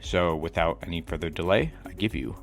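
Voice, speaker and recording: A man's voice: deep voice, lively intonation, brisk, vocal fry, faint music behind. A man hosting a podcast, reading an upbeat intro and then asking questions loosely, laughing easily. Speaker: podcast host, interviewer. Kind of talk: scripted intro, then casual interviewing.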